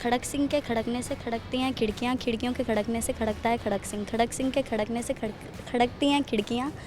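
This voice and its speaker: A woman's voice: a little high, steady tone, quick pace, music in the background. Young woman student, short shy answers. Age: young adult. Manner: shy, brief, evasive answers. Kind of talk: casual interview answers. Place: college campus.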